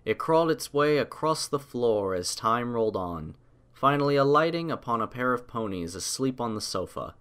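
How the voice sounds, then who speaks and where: A man reading a story aloud as scripted audiobook narration, in a measured, literary storytelling manner. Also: mid-range voice, lively intonation, a bit bright, smooth.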